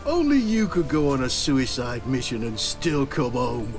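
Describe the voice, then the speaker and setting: A man's voice: mid-range voice, huge pitch swings, a little slow, over music. A man acting a loyal wartime comrade in a game cutscene, understated and a little hesitant. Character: loyal male wartime comrade passing on orders.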